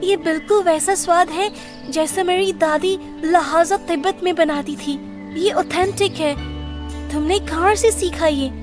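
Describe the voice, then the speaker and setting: Woman as gracious rich young lady, high-pitched. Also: unhurried, over music. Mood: delighted, grateful. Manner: gracious, mixes in English exclamations. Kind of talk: cartoon story dialogue.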